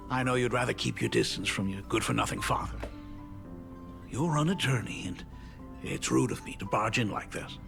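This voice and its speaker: A man's voice: mid-range voice, creaky. A man voicing an awkward, eager, apologetic middle-aged father in a video game, hesitant and effusive. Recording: music behind voice.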